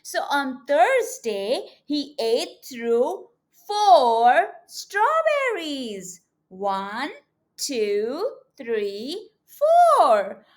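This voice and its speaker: A woman with a high voice reads a storybook to small children in a teacherly way. Her delivery is extremely slow, with deliberate stress on words. The pitch swings in a lively way as she coaxes young listeners along with questions. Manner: expressive, coaxing, addressing young children. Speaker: children's read-aloud teacher.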